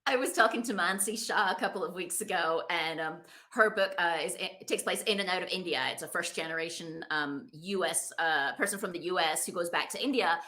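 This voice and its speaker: A woman's voice: fairly low pitch, brisk, hardly any pauses, sharp tone. A woman hosting a casual conversational interview about books, chatting as a fellow author. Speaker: podcast host, author.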